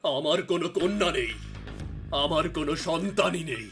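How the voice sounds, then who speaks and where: A man's voice: fairly high pitch, expressive, moderate pace, a bit bright, soft background music. A man voicing a confused, ailing, agitated king in a melodramatic fairy-tale cartoon. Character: confused, sick king who believes himself a dog.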